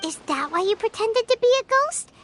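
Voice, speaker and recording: A woman's voice: very high voice, a little fast, background noise. A woman voicing a small, inquisitive childlike companion creature in a game. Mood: curious. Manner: inquisitive, animated.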